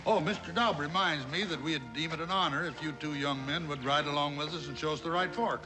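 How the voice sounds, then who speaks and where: A man's voice: a little high, brisk, lightly acted, low-quality recording. An actor playing a folksy, genial male teller of tall tales in an old television western, with drawling frontier phrasing. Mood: genial, dry. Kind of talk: 1960s TV western acting, unhurried storytelling. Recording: loud music behind TV soundtrack.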